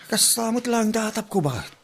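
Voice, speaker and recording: A man's voice: high-pitched, animated, moderate pace, vocal fry, a bit bright, a little hiss or hum, heavily acted. A man acting a gossipy, disapproving village husband in an Ilocano comedy drama, with a Filipino Ilocano accent.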